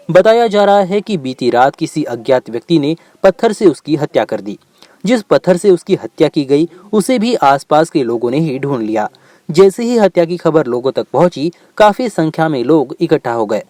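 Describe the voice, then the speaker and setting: Guy doing news voiceover, pitch moves around a lot, dry close sound. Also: a little mellow, no room sound. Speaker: news reporter doing voice-over. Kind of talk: scripted news narration.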